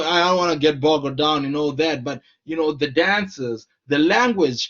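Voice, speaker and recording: Earnest scholar, slightly muffled. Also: male voice, high-pitched, fairly bright tone. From Zimbabwe. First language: Shona. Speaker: scholar and lecturer. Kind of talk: conversational discussion.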